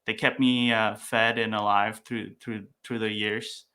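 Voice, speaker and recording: A man's voice: on the low side, flat delivery, unhurried, pushed and bright. A young man from Vietnam, a startup founder talking casually as a podcast guest, with many fillers and restarts. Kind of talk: casual interview talk.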